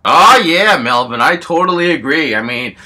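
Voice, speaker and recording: A man voicing an excitable, gleeful talking moon statue, rambling through an improvised story in run-on sentences. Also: on the high side, moderate pace, heavily acted. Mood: excited.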